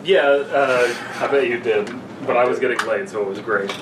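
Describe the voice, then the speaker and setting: A man's voice: medium pitch, moderate pace. A young man chatting and joking casually and crudely with friends as a podcast co-host. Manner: crude, profane humor. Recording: echoey room, distant mic, clear noise.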